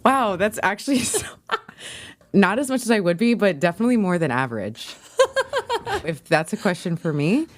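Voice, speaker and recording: A woman's voice: medium pitch, lively intonation, normal speed, pauses often. A woman chatting casually as a guest on a podcast. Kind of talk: casual chat.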